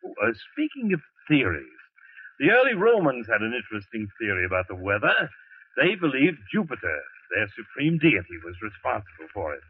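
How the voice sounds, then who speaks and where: An actor playing a fussy, pompous weather bureau chief in a 1940s radio drama, flustered and lecturing. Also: male voice, mid-range voice, wildly swooping pitch, normal speed, breathy edge, dry recording. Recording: tinny, hissy old radio broadcast.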